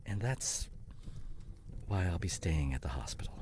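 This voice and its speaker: A man acting a loving, grieving husband and father in an emotional ASMR audio drama, halting and tender, often dropping toward a whisper. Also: low voice, animated, background noise. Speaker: audio roleplay performer.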